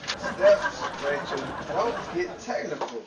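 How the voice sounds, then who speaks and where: Man playing excitable, high-pitched blustering radio husband. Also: slow pace. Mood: blustering, cajoling. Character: vain, cheap, scheming celebrity-obsessed husband. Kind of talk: 1940s old-time radio comedy acting. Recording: echoey, distant, noisy with crowd ambience.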